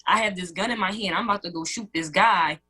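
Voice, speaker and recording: Young woman early 20s, bright clear-cut tone, talking earnestly like a radio interview guest. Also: lowish voice, quick pace. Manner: earnest, conversational. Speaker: student guest.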